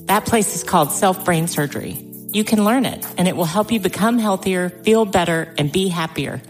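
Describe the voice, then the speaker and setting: A woman announces a podcast in scripted promotional narration. Her pitch is a little lower than average for a woman. Soft music runs behind the voice. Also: moderate pace.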